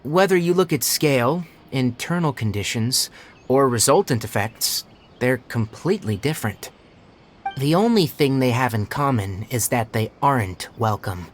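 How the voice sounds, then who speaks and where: A man's voice: mid-range voice, expressive, normal speed, slight background noise, lightly acted. A man playing a composed, blunt young forest ranger and healer in a game, explaining things in an articulate, matter-of-fact way. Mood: focused, decisive. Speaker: forest watcher. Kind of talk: English game dub dialogue.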